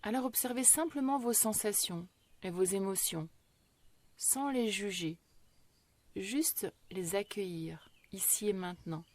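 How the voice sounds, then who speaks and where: Calm, slow-speaking woman guiding meditation. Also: medium pitch, dry recording. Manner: slow, measured delivery with long pauses; calm, soothing, gentle. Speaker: yoga nidra meditation guide. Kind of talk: guided relaxation meditation.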